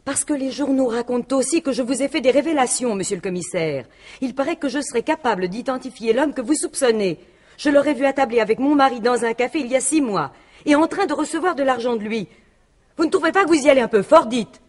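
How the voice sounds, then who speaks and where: An actress playing a proper, easily flustered widow in a French radio mystery in 1950s style, speaking formally and emphatically. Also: female voice, high voice, lively intonation, fast talker. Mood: indignant. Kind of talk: French radio drama acting in 1950s manner.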